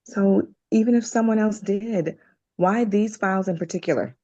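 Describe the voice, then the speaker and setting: Actress as concerned female doctor, low pitch, dark warm tone, measured, firm, dramatic table read, lo-fi sound. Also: lightly acted. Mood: worried, resolute. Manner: measured, earnest.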